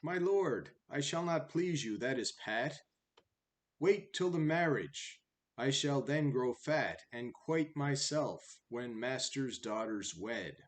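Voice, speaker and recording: A man's voice: medium pitch, animated, slow pace, voice pushed up. Man voicing a wily little dog. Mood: pleading. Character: small, wily, thin dog talking its way out.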